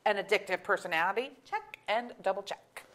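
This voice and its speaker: A woman's voice: mid-range voice, brisk, fairly bright tone, slight background noise, natural voice. A woman acting an exasperated, candid middle-aged mother in a staged comedy reading, with a wry, emphatic delivery. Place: auditorium stage.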